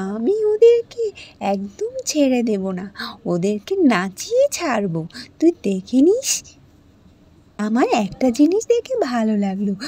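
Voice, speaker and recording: A woman's voice: sits high, breathy edge, exaggerated voice. A woman voicing a hot-tempered but kind village girl in a cartoon, blunt and defiant. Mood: confiding. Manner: blunt, defiant, sharp-tongued. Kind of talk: cartoon voice acting.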